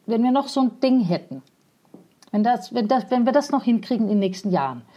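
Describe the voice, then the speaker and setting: A woman guest speaking about cycling and city traffic in a casual interview, thoughtful and frank, pausing often with fillers. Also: fairly high pitch, expressive, warm tone, dull audio.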